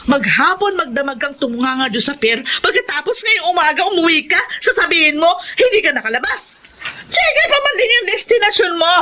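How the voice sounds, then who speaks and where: A woman's voice: extremely high pitch, animated, fairly quick, bright, forceful tone, a little hiss or hum, full character voice. A woman playing a bitter, selfish, scolding wife of a poor dockworker in a Filipino radio drama. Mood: exasperated, nagging. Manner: scolding, confrontational.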